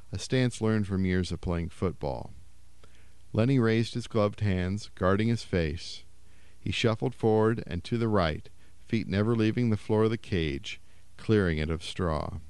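A man reading a short story aloud in a measured storytelling voice. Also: on the low side, a little mellow, clear, resonant tone, no echo. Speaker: story reader.

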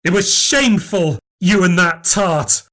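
A man voicing a bitter, scheming old grandmother who is shrill, indignant and cutting. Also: big pitch swings, slow pace, vocal fry, a bit bright, heavily acted. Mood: furious. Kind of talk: audiobook character voice.

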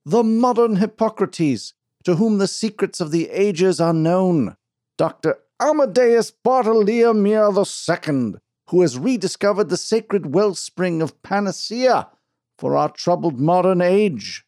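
Pompous quack doctor, high loud bellow, slow showman drawl, storybook. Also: animated, no room sound, clean studio sound. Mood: grandiose. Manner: grandiose, drawn-out oratory. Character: pompous bellowing middle-aged male snake-oil doctor.

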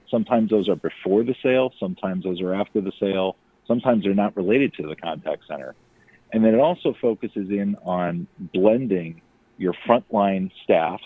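Low husky man on a phone line. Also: no echo. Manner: orderly, explanatory. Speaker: technology evangelist, podcast guest. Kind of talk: explanatory voicemail message. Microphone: telephone. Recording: dull phone-line voicemail, dry.

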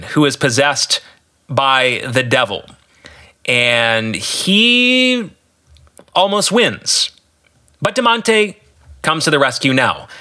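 A man giving solo podcast commentary as an author and host, explaining a story in deliberate, emphatic phrases with dramatic pauses. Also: mid-range voice, bright, forceful tone.

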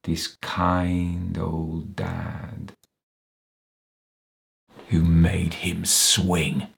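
A man's voice: low voice, fairly even intonation, very slow, creaky, exaggerated voice. A man reading a story, voicing a crafty, menacing ex-policeman villain, bitter and self-pitying, who sneers and taunts. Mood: sneering, slow. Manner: sneering, taunting, with sudden rises and whines.

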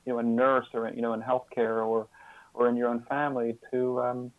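A man answering as an interview guest in an earnest, conversational way, halting often and restarting as he searches for words. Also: mid-range voice, level tone, no echo, narrow, tinny audio. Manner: halting, hesitant, searching for words with repeated false starts. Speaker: podcast guest, author and caregiving mentor.

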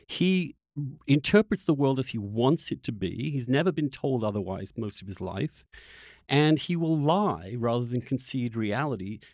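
A man's voice: mid-range voice, a little mellow, dry recording, studio quality. A man arguing emphatically in a heated political debate, pressing his points and cutting in. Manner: emphatic, argumentative, interrupting. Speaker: podcast host debating a guest.

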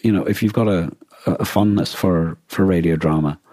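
A man co-hosting a podcast in casual discussion, with a husky voice and a hesitant way of restarting his phrases mid-thought. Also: low voice, moderate pace, mellow, dry recording. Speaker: podcast co-host.

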